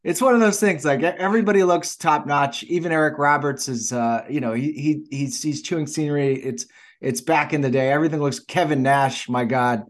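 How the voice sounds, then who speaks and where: A man with a slightly high-pitched voice chats casually as a podcast co-host. He is enthusiastic and profane, circling his points in halting, rambling runs. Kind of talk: casual chat about films.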